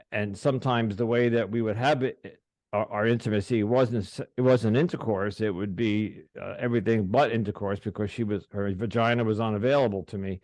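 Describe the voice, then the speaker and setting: A middle-aged man hosting a podcast, speaking haltingly with many restarts as he opens up about his past. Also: medium pitch, darkish tone, dry recording. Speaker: podcast host. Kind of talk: casual interview, personal disclosure.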